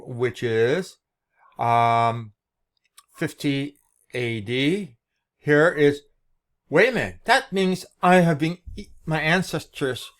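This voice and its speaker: A man with a medium-pitched voice presents an informal explanation, as if walking someone through what is on a screen. He speaks in short phrases with a halting, unscripted delivery.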